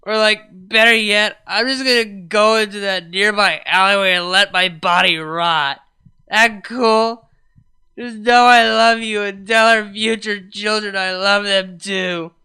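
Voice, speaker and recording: A sobbing, slurring young pony mare, drunk and overwrought, pitched well up and loud, as a male storyteller puts her on. Her voice stays bright and clean, gushing out in wailing runs. She sounds like a storybook figure read aloud. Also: fairly even intonation, unhurried, clear, resonant tone, full character voice.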